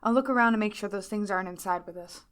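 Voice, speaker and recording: A woman's voice: medium pitch, natural voice. A woman voicing a practical survivor scouting a hospital in an audio drama. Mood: reassuring. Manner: brisk, practical. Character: resourceful young female survivor.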